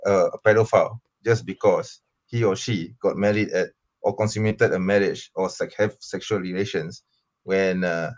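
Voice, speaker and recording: A man's voice: low-pitched, steady tone, moderate pace, lo-fi sound. A man from Malaysia debating online, answering questions insistently with many fillers and restarts.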